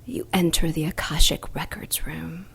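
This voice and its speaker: An actress playing a commanding mystical mistress guiding a trance in an audio drama, incantatory and sometimes hushed. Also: female voice, deep voice, fairly even intonation, vocal fry, breathy edge, heavily acted. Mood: hypnotic. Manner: slow, incantatory, hushed at times. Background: music.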